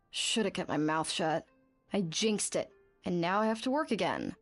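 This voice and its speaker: A woman's voice: lowish voice, sing-song, measured pace, soft background music, exaggerated voice. A woman playing a blunt, feisty young bathhouse worker in a video game, brash and impatient. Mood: grumbling.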